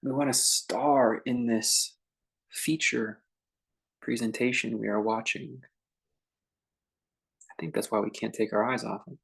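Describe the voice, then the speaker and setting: A man guiding a meditation in an informal, conversational way, speaking in short phrases with unhurried pauses between them. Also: medium pitch, fairly even intonation, vocal fry, a bit bright, rough recording. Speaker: meditation teacher leading a guided meditation. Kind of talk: unscripted guided meditation talk.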